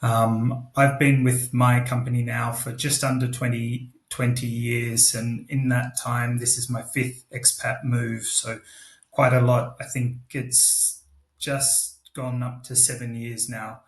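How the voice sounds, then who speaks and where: Male guest, forties, Australian accent, slow casual delivery with little inflection, echoey room. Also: mid-range voice, flat delivery. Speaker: guest, expatriate professional. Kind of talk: casual self-introduction. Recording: roomy, echoey sound.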